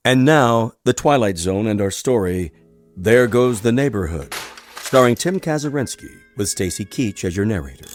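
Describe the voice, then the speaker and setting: Casting: male show announcer, fairly low voice, formal scripted introduction over music. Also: animated, moderate pace. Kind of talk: scripted radio drama title announcement.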